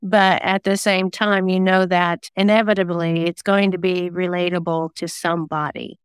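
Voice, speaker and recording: A woman's voice: on the low side, level tone, moderate pace, clear, resonant tone, no room sound. A woman giving reflective, earnest answers as a guest in a conversational interview. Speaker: guest, singer-songwriter and novelist.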